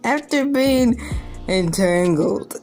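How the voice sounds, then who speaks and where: Female commentator, higher-pitched and slow, joking and incredulous in casual asides, faint music underneath. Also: expressive, a little mellow. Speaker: video host. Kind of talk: casual comedic commentary on a news article. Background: background music.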